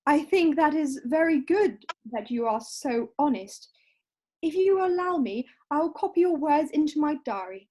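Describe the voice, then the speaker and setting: Casting: a woman as prim romantic girl in a stage comedy read-through, very high voice, composed and sweet. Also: lively intonation, a little slow, a little mellow. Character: sweet, self-possessed, romantic girlish young lady. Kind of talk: amateur play read-through. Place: at home on a video call. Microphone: video call.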